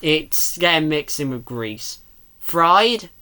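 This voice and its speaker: Casting: casual reaction commentator, bright mid-range voice, rambling and full of questions. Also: medium pitch, lively intonation, slow.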